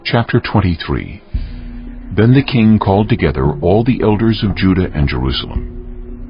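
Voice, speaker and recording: A very deep, gravelly male narrator reads scripture with solemn deliberation. His intonation moves widely, on a slightly muffled recording.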